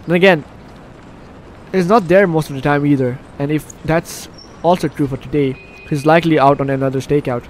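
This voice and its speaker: A man doing let's play commentary, reading game text aloud in an animated, slightly stumbling way. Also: a little high, fairly quick, slight background noise. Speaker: video game streamer. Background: game music.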